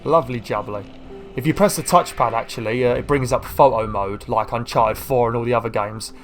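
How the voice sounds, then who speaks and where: Male gamer, croaky today, chatty and rambling, faint game music underneath. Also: mid-range voice, a little fast. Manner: rambling, chatty, self-deprecating. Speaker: gaming YouTuber. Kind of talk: casual gameplay commentary.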